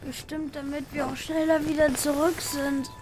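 A woman's voice: high voice, flat delivery, normal speed, music in the background, close to an everyday voice. A woman playing a reluctant, sulky child in a children's audio drama.

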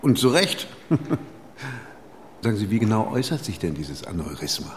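An actor playing an anxious, witty editor in his fifties in a radio drama, fussy and halting as he talks. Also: on the low side, very animated, slight background noise. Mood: awkward charm. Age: 50s. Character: anxious, witty 59-year-old editor fretting about ageing. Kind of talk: naturalistic German radio drama.

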